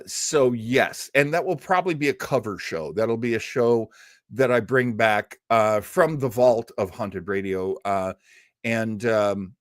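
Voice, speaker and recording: Man with lively, swooping delivery, casual host. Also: medium pitch, dry recording, clean studio sound. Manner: informal, chuckling, rambling asides. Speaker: host of a live-streamed radio nostalgia show. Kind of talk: casual chat, reading out and answering live viewer comments.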